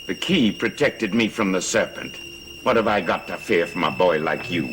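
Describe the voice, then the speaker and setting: A man's voice: low voice, expressive, moderate pace. A middle-aged man acting in a gothic soap opera as a stern, scornful stepfather. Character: stern, scornful stepfather guarding his secret. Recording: noisy old TV soundtrack.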